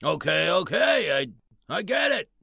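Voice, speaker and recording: A man acting a gruff, defiant working man at a radio station in a 1940s-style radio drama, stammering and grudging. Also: high voice, big pitch swings, unhurried, bright, forceful tone, no echo, clean studio sound, heavily acted. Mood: indignant, grudging.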